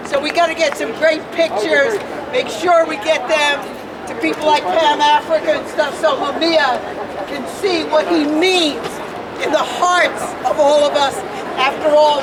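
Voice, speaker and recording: A man's voice: very high-pitched, fairly even intonation, a little slow, distant mic. A man emceeing a rally outdoors, with loose, rousing talk and call-and-response chants. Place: outdoor urban park rally. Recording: crowd and city noise, off-mic.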